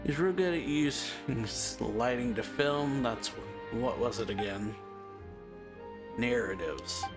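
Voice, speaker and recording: A man doing a casual let's play, reading game dialogue aloud in a halting, offhand way. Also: mid-range voice, animated. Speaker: let's player. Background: game music.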